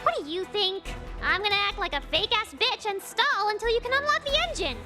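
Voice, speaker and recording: A woman's voice: very high-pitched, animated, a little fast, sharp tone, faint music behind, exaggerated voice. A woman voicing a sassy young schemer playing a sweet princess in scripted game dialogue, gushing and theatrical.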